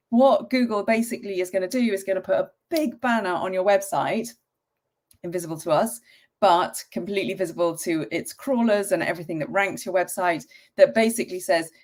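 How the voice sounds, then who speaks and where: A woman hosting a casual live-stream tutorial, croaky from a cold and talking in a chatty way with frequent ums and the odd cough. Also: medium pitch, brisk, a little mellow.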